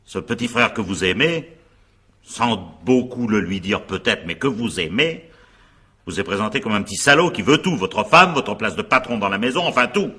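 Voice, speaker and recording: Husky, deep-voiced insistent magistrate. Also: male voice, animated, moderate pace, bright, forceful tone, exaggerated voice. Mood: insistent, pressing. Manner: probing, insistent, with pointed tag questions. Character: insistent examining magistrate questioning two brothers. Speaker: examining magistrate. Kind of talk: French radio crime drama acting.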